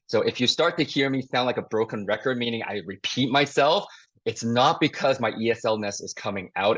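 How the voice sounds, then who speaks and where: A man's voice: medium pitch, a bit bright. A man from Taiwan whose first language is Mandarin, hosting a live investing webinar over a video call in an upbeat, chatty, self-deprecating way. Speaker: investing mentor and webinar host. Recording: dull lo-fi video call audio.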